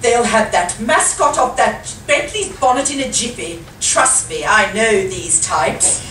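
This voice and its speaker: A woman's voice: on the high side, lively intonation, a little slow, a bit bright. A woman playing a loyal, snobbish chauffeur in an amateur radio comedy, deferential and knowing with her lady. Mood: deferential, wary. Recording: roomy, distant live recording, faint noise.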